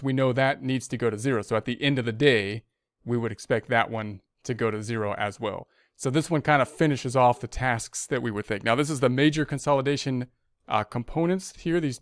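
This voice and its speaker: A man with a clean, smooth voice teaches in an informal, conversational way. He explains things step by step and tends to restate his points. The sound is dry, with no room echo. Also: medium pitch. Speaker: accounting instructor. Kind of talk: informal step-by-step tutorial narration.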